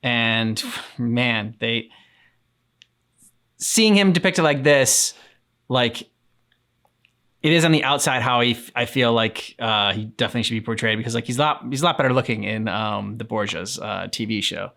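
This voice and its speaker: A man co-hosting a reaction show, chatting casually and haltingly, often trailing off mid-thought. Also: medium pitch, gravelly, bright, forceful tone. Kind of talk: casual chat reacting to game cutscenes.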